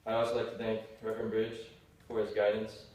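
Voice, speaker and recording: A young man, around high school graduation age, delivering a prepared thank-you speech as a graduating senior. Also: monotone, a little fast, a little mellow. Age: late teens, young adult. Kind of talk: prepared farewell speech to a congregation. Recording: very echoey hall, distant mic, faint noise.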